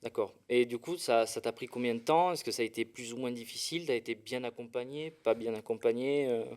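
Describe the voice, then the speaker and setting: A man's voice: mid-range voice, fairly quick, a little mellow. A young man from rural France hosting a podcast, asking loose and hesitant questions. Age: young adult. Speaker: podcast host. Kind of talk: casual interview.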